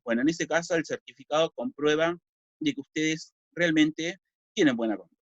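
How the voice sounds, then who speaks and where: A man's voice: on the high side, normal speed, no echo. A Uruguayan man teaching a recorded civics lesson, explaining step by step and asking and answering his own questions. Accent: Uruguayan Spanish. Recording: dull lo-fi dry recording.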